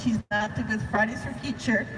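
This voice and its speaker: Brief: woman emcee at a street rally, a bit high, heard far from the mic with roomy echo. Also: steady tone, fairly quick. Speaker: event emcee. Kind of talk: introducing speakers at a rally. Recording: distant echoey PA with street noise.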